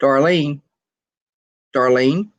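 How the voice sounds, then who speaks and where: A woman's voice: extremely low pitch, drawn-out words, muffled recording. A woman from Texas hosting a casual video call chat, telling stories and laughing often. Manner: chatty storyteller, laughs often.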